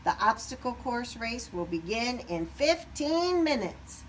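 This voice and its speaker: A woman voicing a brisk male chicken who calls out sports announcements in a storybook. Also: a little high, sing-song, recorded from a distance, a little hiss or hum, voice pushed up, poor audio. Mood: announcing. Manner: calling out, announcing.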